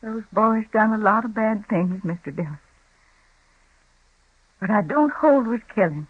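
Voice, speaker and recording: An actress playing a weary older frontier mother on a vintage radio western, speaking softly in a plain rural dialect. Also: mid-range voice, measured pace, breathy edge, no room sound. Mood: grieving. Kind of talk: 1950s radio western acting. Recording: tinny, narrow old radio broadcast.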